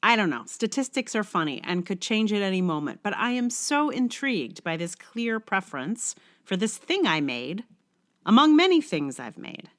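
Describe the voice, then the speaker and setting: A woman's voice: medium pitch, big pitch swings, moderate pace, a bit bright. A middle-aged woman hosting a solo podcast, musing in a wry, self-questioning way. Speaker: podcast host. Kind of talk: scripted essay reading, then casual rambling solo podcast talk.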